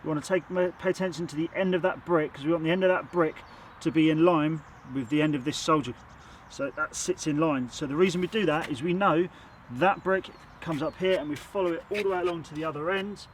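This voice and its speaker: A man's voice: a little high, fairly quick, hardly any pauses, slight background noise. A man with a sore throat, chatting casually while he teaches bricklaying on site. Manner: chatty, rambling, self-admittedly waffles. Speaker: bricklayer teaching on his channel. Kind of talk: casual how-to tutorial.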